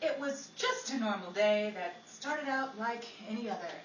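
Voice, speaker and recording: A woman's voice: on the high side, animated, normal speed. A woman announcing a 1940s-style radio show with jokey, theatrical patter. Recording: echoey, distant, noisy live room with crowd.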